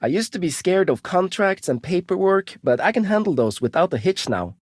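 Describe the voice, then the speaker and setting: Game role: upbeat young man, highish voice, very animated. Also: a little fast, dry recording, clean studio sound. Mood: cheerful. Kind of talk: video game character voice line.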